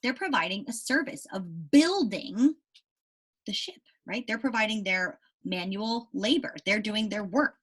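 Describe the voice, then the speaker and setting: A woman teacher giving a read-aloud lesson to young children, animated and explanatory with frequent check-in questions, in a slightly husky voice. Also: medium pitch, a little slow. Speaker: elementary school teacher.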